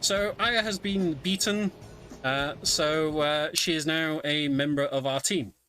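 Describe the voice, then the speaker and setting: A man giving casual, conversational live commentary on a video game speedrun, often pausing with ums. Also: on the high side, pushed and bright, low-quality recording. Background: game music.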